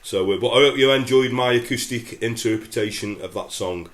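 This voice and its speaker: English guitar tutor, middle-aged, stuffed-up nasal voice, relaxed and rambling, mid pitch. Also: male voice, a little fast. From England. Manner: chatty, relaxed, many fillers. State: head cold, nasal. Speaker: guitar teacher. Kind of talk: casual guitar lesson with singing. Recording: roomy sound with loud acoustic guitar.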